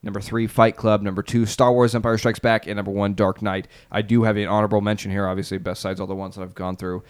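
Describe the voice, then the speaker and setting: Low-voiced, opinionated male podcast co-host. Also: level tone, fast, smooth. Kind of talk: casual banter about favourite films.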